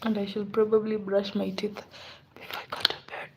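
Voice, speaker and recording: A tired, unwell woman in a casual live stream, greeting viewers and chatting softly with frequent laughs, sometimes dropping to a whisper. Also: medium pitch, little pitch movement, brisk, gravelly, slight background noise. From Kenya. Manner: soft-spoken, laughs often, drifts into whispers. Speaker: livestreamer.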